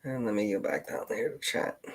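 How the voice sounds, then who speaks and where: A man with a Canadian accent hosting a casual livestream chat, meandering and thinking aloud in a halting way. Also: lowish voice. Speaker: livestream host, genealogist.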